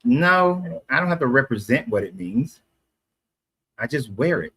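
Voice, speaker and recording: A man from Atlanta with a voice pitched right in the middle. He argues point by point, explaining at length and correcting himself along the way. It is a casual street conversation. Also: big pitch swings, unhurried, a bit bright, breathy edge. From Atlanta, USA. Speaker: street debater.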